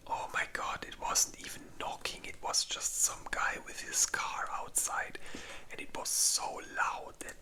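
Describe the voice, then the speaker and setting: Asmr host whispering super bright and breathy, casual rambly chat. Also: a little slow.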